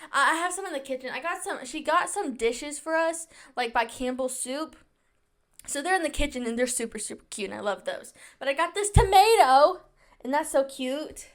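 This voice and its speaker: A woman's voice: high voice, lively intonation, moderate pace. A young woman hosting her podcast in casual chat, bubbly and chatty, often saying "like," and a little under the weather with allergies. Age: young adult. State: slightly sick with allergies. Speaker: podcast host.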